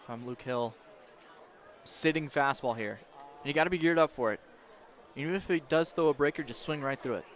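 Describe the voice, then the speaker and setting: A man's voice: fairly high pitch, fairly quick, long pauses, fairly bright tone, a faint crowd. A man giving analytical, critical baseball color commentary in a conversational way.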